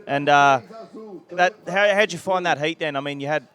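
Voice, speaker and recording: A man's voice: on the high side, normal speed, fairly bright tone, soft background music. Male trackside interviewer, handheld mic, rambling friendly questions. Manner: chatty, rambling questions, hesitant fillers. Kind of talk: post-heat interview. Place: outdoor beach event interview backdrop.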